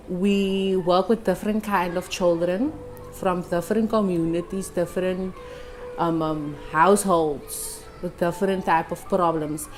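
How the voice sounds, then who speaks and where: A woman in her twenties, a community tutor, giving a soft-spoken, thoughtful unscripted testimonial with hesitant ums. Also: on the low side. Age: 20s. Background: music.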